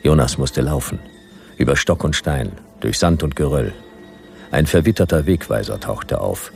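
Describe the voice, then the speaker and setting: Very deep gravelly hardboiled detective. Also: male voice, moderate pace, soft background music, heavily acted. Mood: dry, deadpan. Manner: dry, deadpan. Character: hardboiled laconic male detective narrating his Western ordeal. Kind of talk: radio play first-person noir narration.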